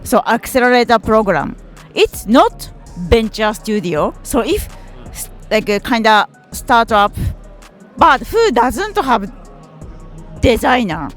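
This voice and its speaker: Woman host asking questions kinda tentatively, pitch jumps around a lot, soft music behind. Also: big pitch swings, slow. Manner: tentative, loosely phrased questions. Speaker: podcast host, interviewer. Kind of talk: interview questions. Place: at a startup event.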